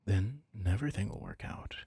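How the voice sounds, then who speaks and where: A man playing a doting, possessive young mafia heir in an intimate ASMR roleplay, husky and breathy, coaxing tenderly and teasingly. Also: lowish voice, fairly quick, mellow, dry recording. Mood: confessional, obsessive.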